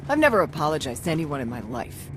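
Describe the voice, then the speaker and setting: Brash, lively young thief. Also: female voice, deep voice, quick pace, a little hiss or hum. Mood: sulky. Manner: brash, slangy. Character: cocky, sharp-tongued young female thief, defiant and sarcastic. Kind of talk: video game voice acting.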